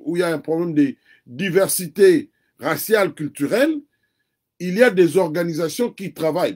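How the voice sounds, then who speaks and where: A man with a Congolese accent and a notably high voice for a man. He speaks earnestly and builds his case step by step, repeating phrases for emphasis. His first language is Kikongo. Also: mellow, slightly rough. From Democratic Republic of the Congo. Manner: earnest, argues point by point with repetition. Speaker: interview guest. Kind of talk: earnest conversational commentary.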